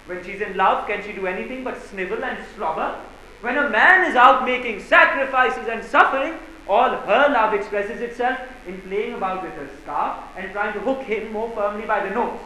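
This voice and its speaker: A man acting a hot-tempered, woman-scorning gentleman in a theatrical farce, declaiming with sarcasm and bullying bluster. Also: sits high, normal speed. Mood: scornful. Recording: very echoey room, faint noise.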